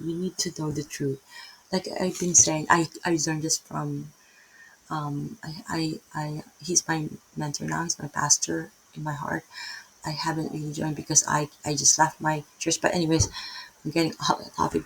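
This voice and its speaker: A woman's voice: sits low, vocal fry, slight background noise, poor audio. A middle-aged woman with a Filipino accent giving a casual, rambling Bible lesson, often checking in with "right?" and breaking off mid-thought. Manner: rambling, self-interrupting, tags many lines with "right?". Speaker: Bible teacher. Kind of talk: casual unscripted Bible teaching.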